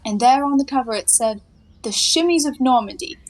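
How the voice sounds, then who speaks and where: An actress playing a flippant, quick-witted young woman in a 1920s comedy audio play, breezy and playfully sarcastic. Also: sits high, close to an everyday voice. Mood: teasing.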